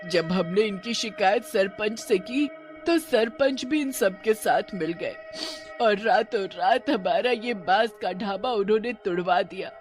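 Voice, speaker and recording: A man voicing a vengeful witch mother who runs a food stall, in a theatrical, commanding cartoon horror style. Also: mid-range voice. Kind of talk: Hindi animated horror story dialogue.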